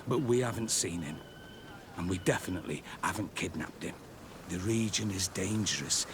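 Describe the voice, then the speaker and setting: An actor playing a weary, embittered military captain in a video game cutscene, with a bitter, self-deprecating manner. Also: male voice, medium pitch, background noise, lightly acted. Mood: bitter, defensive.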